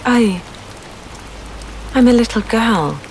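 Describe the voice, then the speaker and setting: A woman's voice: a little high, lively intonation, takes it slowly, a little mellow, slight background noise, pitched above the performer's own voice, low-quality recording. A woman voicing an earnest, polite and puzzled little girl in a storybook. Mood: doubtful protest. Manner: doubtful, truthful, mildly protesting.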